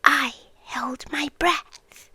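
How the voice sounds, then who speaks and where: A British woman playing an obsessive, creepily devoted yandere girlfriend in an intimate ASMR roleplay, breathy and often whispering, lingering on short phrases. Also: high voice, extremely slow, sharp tone, dry recording, full character voice. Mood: teasing menace. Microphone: close microphone, dry intimate recording.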